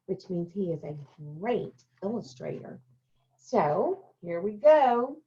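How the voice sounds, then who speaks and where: Woman reading to children, dull lo-fi recording. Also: medium pitch. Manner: simple, deliberate phrasing aimed at young children. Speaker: elementary school staff member reading a picture book to children. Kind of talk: children's storybook read-aloud, addressing young pupils.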